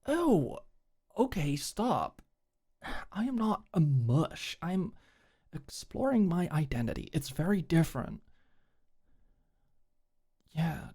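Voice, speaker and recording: A young woman playing a tough but awkward, secretly tender delinquent girl in an intimate ASMR roleplay, speaking softly and hesitantly. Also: low-pitched, very animated, slow pace, long pauses, slightly rough, exaggerated voice. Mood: flustered, defensive.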